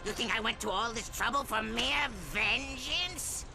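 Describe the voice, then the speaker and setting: A man's voice: very high voice, a little slow, creaky, full character voice. A man voicing an egomaniacal robot mad scientist in a video game, with theatrical, ranting delivery and sudden shrieks. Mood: grandiose. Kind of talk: animated video game villain acting. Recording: loud music under the voice.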